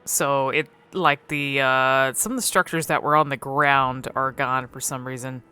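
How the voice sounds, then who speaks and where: A woman giving casual, unscripted game commentary, thinking aloud haltingly and swearing freely. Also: rumbling low, steady tone, sharp tone, smooth, soft background music.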